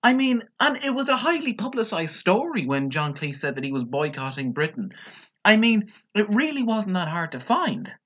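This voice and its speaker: A man's voice: high voice, sing-song, normal speed, fairly bright tone. A man commenting on a TV clip in a casual, sarcastic and mocking way. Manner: sarcastic, mocking, mimics others. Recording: dull lo-fi sound.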